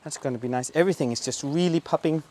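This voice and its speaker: A man's voice: a little high, racing, darkish tone. A man from southern Denmark with a Danish accent, hosting a casual garden tour outdoors and exclaiming eagerly over the plants. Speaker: garden show host.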